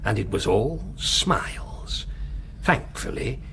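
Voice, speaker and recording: An actor playing a coldly authoritarian, urbane and cynical world ruler in a radio drama, with a measured, condescending delivery. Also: male voice, lowish voice, sing-song, gravelly, pushed and bright, a little hiss or hum, full character voice. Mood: condescending, dismissive. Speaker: controller of a dystopian society.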